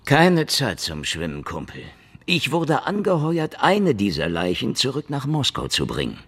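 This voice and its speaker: An actor playing a cynical, world-weary Soviet secret-police detective in a hardboiled radio drama, sardonic and slangy. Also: male voice, mid-range voice, huge pitch swings, a little slow, fairly bright tone, husky, heavily acted. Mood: sardonic, challenging. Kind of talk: noir radio drama acting and narration.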